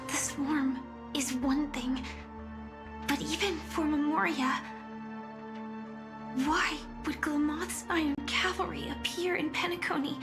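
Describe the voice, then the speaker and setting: A woman playing a gentle young female soldier lost in a haunting dream in a video game, speaking in halting, pensive murmurs. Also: high voice, fairly even intonation. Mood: wistful, somber. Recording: music behind the voice. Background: background music.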